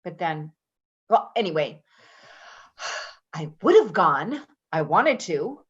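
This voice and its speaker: Woman acting a brash, animated, rambling caregiver. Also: lowish voice, slightly rough, lo-fi sound, heavily acted. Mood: eager, defensive. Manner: rambling, halting, with nervous laughter. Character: brash, chatty, defensive woman caregiver named Patti. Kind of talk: naturalistic readers theater acting.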